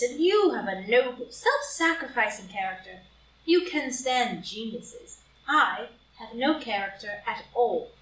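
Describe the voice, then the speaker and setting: Woman playing a high-voiced, flippant young society lady. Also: a bit bright. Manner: arch, breezy, teasing drawl. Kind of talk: group play reading for audio drama. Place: live in-person group reading in a room. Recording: roomy, distant live reading, noisy.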